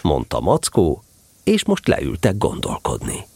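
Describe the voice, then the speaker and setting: A man narrating a storybook tale in an expressive, animated way. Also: sits low, vocal fry, breathy edge, no echo.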